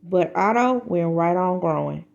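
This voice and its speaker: A woman reading a children's book aloud as an expressive storyteller, with deliberate, dramatic emphasis. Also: on the low side, creaky, lo-fi sound.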